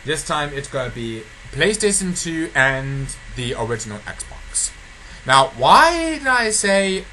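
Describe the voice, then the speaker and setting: Bright-voiced man rambling over background noise. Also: medium pitch. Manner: repetitive, stumbling and restarting sentences often. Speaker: online video creator giving channel updates. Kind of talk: casual rambling update log. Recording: noticeably noisy amateur home recording.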